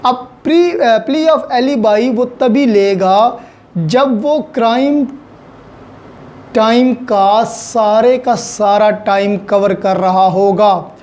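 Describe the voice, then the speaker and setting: Man, Pakistani accent, law lecturer, unhurried delivery, soft mellow tone, methodical explanations, English terms mixed in. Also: sits high. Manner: mixes in English legal terms.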